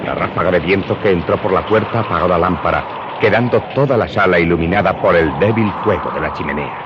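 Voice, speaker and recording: Man as eyewitness narrator of dark radio play, deep voice, clear background noise. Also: a little fast, close to an everyday voice. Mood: grave narration. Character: male eyewitness narrator recounting a dark tale. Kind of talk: scripted radio drama narration. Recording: dull, clearly noisy audio.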